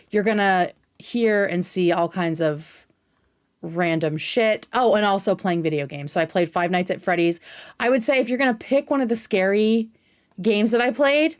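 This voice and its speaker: A woman hosts a livestream in a medium-pitched voice. She talks casually to her chat in an informal, scattered way. Her language is loose, and she swears freely. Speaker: livestream host.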